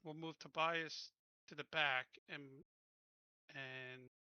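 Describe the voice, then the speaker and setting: A man's voice: a little high, fairly even intonation, slow pace, bright, forceful tone, smooth, no room sound, muffled recording. A man chatting casually and bluntly as a player in a tabletop roleplaying game.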